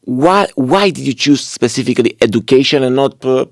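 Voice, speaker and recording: Man, podcast host, encouraging interviewer, mid-pitched, dry sound. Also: expressive, brisk, no echo. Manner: encouraging, enthusiastic praise. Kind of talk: interview conversation.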